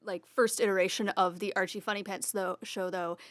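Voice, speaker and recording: A woman's voice: mid-range voice, steady tone, fairly quick, dry recording, clean studio sound. A woman who works in theater, speaking informally and frankly in a casual group interview. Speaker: theater stage manager and Foley artist.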